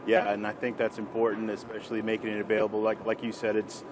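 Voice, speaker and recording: Low-pitched, flat-toned male interviewer. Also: fast talker. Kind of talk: informal business interview at a trade show. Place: trade show floor. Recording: clear show-floor background noise.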